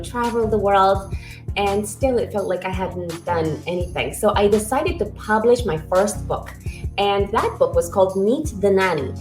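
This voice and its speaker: Woman guest, mid pitch, energetic, echoey room sound. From Romania. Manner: lively, animated storyteller who talks with energy. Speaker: guest, author and book coach. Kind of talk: casual interview talk.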